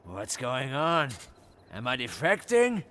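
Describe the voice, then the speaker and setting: An actor playing a frightened, bewildered professor in a video game. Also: male voice, mid-range voice, wildly swooping pitch, takes it slowly, pushed and bright, slight background noise, exaggerated voice. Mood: bewildered alarm. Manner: anxious, bewildered. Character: flustered, frightened male professor. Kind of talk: video game cutscene acting.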